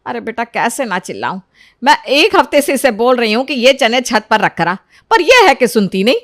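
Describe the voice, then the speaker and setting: A woman's voice: fairly high pitch, animated, normal speed, no room sound, studio quality, pitched above the performer's own voice, natural voice. A woman voicing a middle-aged mother in a family story, exasperated but caring, with emphatic motherly talk. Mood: exasperated, scolding.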